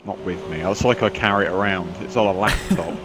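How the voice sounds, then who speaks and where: Man, nasal with a cold, lowish voice, rambling race commentary, noisy background. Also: fairly quick. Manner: rambling, self-mocking, chatty. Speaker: sim racing commentator.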